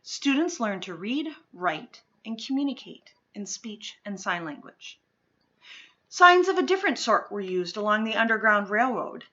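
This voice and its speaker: Female storyteller for children's read-aloud: bright tone, mid pitch, expressive and deliberate phrasing, somewhat lo-fi sound. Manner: expressive, deliberate phrasing with dramatic pauses and emphasis. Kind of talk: dramatic children's picture-book read-aloud.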